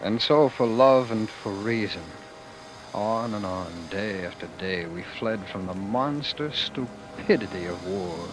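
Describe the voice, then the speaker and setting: A man's voice: on the low side, unhurried, noisy background, lightly acted. An actor playing a haunted, intense man narrating his flight from war, brooding and theatrical, in an old radio drama. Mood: grave. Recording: vintage radio recording with music behind.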